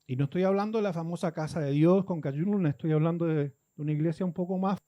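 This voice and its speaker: A man's voice: on the high side, fast talker, warm tone, dull audio. A man from Guatemala, preaching a conversational sermon and acting out churchgoers' complaints.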